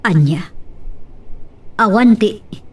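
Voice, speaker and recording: A woman's voice: mid-range voice, lively intonation, drawn-out words, husky, distant mic, full character voice. A woman with an Ilocano Filipino accent playing a questioning, suspicious daughter in an audio drama. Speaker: actor in an Ilocano radio-style drama. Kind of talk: amateur audio drama acting.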